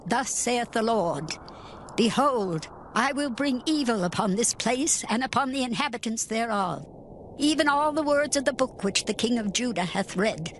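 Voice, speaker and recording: Actress as stern biblical prophetess: oracular, declamatory, slightly high voice, faint background noise. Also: female voice, lively intonation, moderate pace, close to an everyday voice. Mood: stern, foreboding. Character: stern, solemn Hebrew prophetess delivering God's judgement. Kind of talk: dramatized scripture acting.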